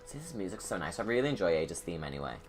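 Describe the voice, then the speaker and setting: A woman's voice: a bass voice, very animated, rapid-fire, soft background music. A woman giving informal, rambling commentary on her own game movie. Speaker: video creator, commentator. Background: game audio and ambience.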